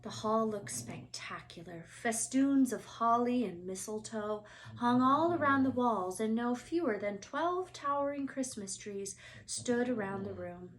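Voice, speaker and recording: A woman reading a children's book aloud in an expressive storytelling style. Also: on the high side, unhurried, slight background noise, poor audio.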